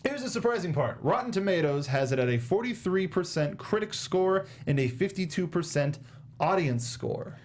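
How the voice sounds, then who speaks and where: A man's voice: high voice, expressive, moderate pace, a little hiss or hum. A young American man co-hosting a movie review show in casual, conversational style on a close studio microphone. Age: young adult. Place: small indoor studio. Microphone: close studio microphone with foam windscreen.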